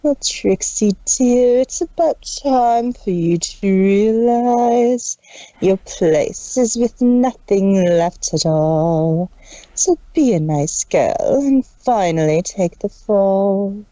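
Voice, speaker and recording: A cold, gloating cartoon villain mare, voiced by a woman taunts in a slow singsong. The tone is soft-edged and theatrical, on a dry, muffled recording. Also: mid-range voice, lively intonation, no echo.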